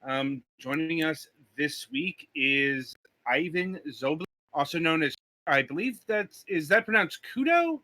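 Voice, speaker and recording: Bright-voiced chatty male interviewer. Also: a little high, animated, unhurried. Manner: filler-heavy, loose and chatty. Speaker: podcast interview host. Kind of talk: casual interview questions.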